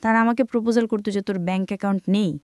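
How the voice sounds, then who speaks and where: A woman's voice: mid-range voice, steady tone, racing, darkish tone, no room sound, clean studio sound. A young woman with a Bangladeshi accent telling her own life story conversationally, relaying remembered dialogue as she goes. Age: young adult.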